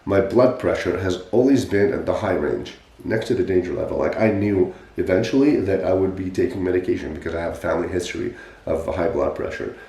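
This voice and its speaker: A man in his forties telling his own fitness story in a casual podcast chat, animated and emphatic, with frequent restarts. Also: low voice, a little fast. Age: 40s. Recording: echoey room.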